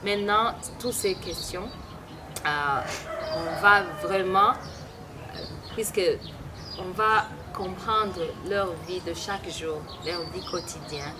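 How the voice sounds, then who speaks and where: A woman from Burundi hosting an interview, speaking hesitantly with many filler pauses. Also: on the low side. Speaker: interviewer and host. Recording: loud background noise.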